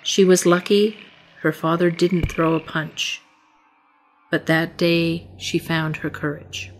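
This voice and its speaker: A woman narrating a scripted story for a short film in a measured, solemn way. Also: on the low side, level tone, a little hiss or hum, low-quality recording.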